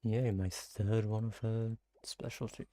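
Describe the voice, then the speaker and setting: A man giving casual, low-key gameplay commentary in a muttering way. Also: steady tone, measured pace, mellow, dry recording. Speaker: gamer.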